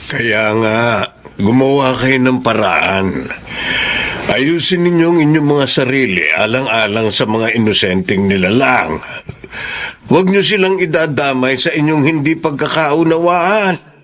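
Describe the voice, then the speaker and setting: A man's voice: mid-range voice, animated, a little slow, fairly bright tone. Concerned older Filipino grandfather. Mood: earnest, pleading. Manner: earnest, pleading, advising. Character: conciliatory, concerned older father-in-law and grandfather. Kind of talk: Filipino radio drama acting.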